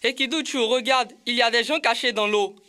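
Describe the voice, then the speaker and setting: An actor voicing a curious, naive child in an educational cartoon, speaking simply and clearly. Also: medium pitch, brisk, sharp tone, close to an everyday voice. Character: curious, naive child character playing hide-and-seek. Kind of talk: dubbed educational cartoon dialogue.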